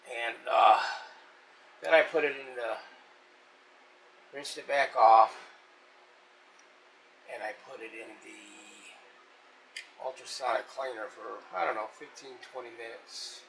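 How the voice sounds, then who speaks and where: A man's voice: mid-range voice, fairly bright tone, low-quality recording. A man talking casually and plainly in a colloquial way as he hosts a hands-on restoration vlog from his workshop. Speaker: vintage radio restorer and host. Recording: faint background noise.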